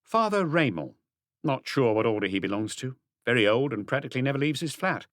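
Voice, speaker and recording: A man voicing a diligent police inspector in an audiobook, briskly reporting his enquiries in detail. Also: mid-range voice, very animated, a bit bright, dry recording, studio quality, pitched above the performer's own voice. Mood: eager reporting. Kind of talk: audiobook character dialogue.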